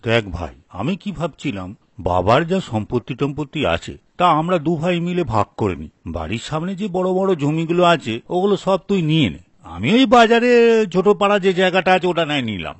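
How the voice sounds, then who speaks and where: A man acting a sly, animated elder brother. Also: a little high, normal speed, no room sound. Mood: falsely generous. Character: scheming, self-interested married elder brother in a folk tale. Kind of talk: acted dialogue for a story video.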